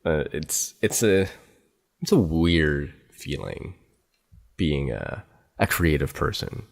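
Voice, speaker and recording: A man with a very low voice hosts a podcast interview. He talks in a relaxed, easygoing way and readily agrees with his guest. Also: takes it slowly, vocal fry. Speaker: podcast host. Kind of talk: casual interview chat.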